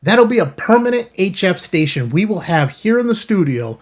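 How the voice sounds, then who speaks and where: A man's voice: sits high, very animated, moderate pace. A man hosting a casual unboxing video, talking to viewers in an enthusiastic, folksy way with self-kidding asides. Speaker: host of a ham radio channel. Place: studio.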